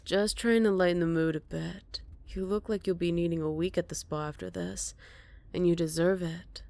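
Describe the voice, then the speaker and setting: A woman playing a smug, flirty captured villain in an ASMR roleplay, teasing and coy with breathy murmurs. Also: on the low side, steady tone, moderate pace, darkish tone, no room sound.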